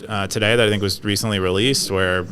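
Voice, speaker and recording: Man, low pitch, smooth resonant voice, casual chat, hesitant, lots of fillers. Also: steady tone, fast, fairly bright tone. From California. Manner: hesitant, many fillers and restarts, explanatory. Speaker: podcast co-host. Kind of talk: casual conversational discussion.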